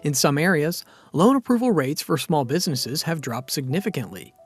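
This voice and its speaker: A man reading a scripted finance explainer narration in an even, steady, explanatory way. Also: fairly high pitch, normal speed, slightly rough, faint music behind.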